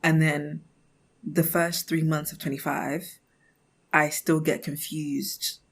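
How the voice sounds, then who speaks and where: Husky young woman, casual and chatty. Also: low voice, slow pace. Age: 20s. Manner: chatty and expressive, acting out imagined remarks; giggly, often laughing mid-thought. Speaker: podcast host. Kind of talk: casual conversational monologue.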